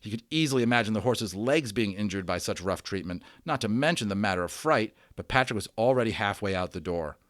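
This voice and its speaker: A man reading a children's novel aloud in an expressive, theatrical storytelling style. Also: fairly low pitch, fairly quick, few pauses, dry recording, clean studio sound.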